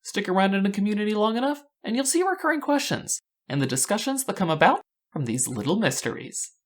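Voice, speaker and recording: A woman's voice: medium pitch, sing-song, quick pace. A woman narrating scripted fan analysis as a video host, with a wry, rhetorical delivery.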